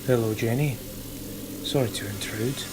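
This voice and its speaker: A man playing a sinister, taunting villain in an audio drama, insinuating and hypnotic, slipping into breathy whispers. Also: medium pitch, moderate pace, background noise, natural voice. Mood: sly, taunting. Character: sinister, taunting male villain known as the teacher.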